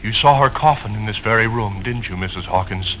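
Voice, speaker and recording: An actor playing a nervous, pleading, eerily obsessed widower with a halting, insinuating manner in an old-time radio suspense play. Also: male voice, fairly low pitch, natural voice. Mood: eerie, insinuating. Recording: muffled, noisy vintage radio recording.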